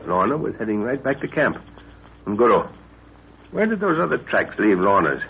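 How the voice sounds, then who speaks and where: An actor playing a commanding middle-aged professor on a jungle expedition, brisk and authoritative, in 1930s radio drama style. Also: male voice, on the low side, very animated, gravelly. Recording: muffled, hissy 1930s radio recording.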